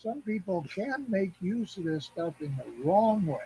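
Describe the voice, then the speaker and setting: An older man chatting casually and reminiscing in a rambling, halting, anecdotal way. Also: high voice, very animated, a crowd in the background, rough recording. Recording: clear background noise and hum.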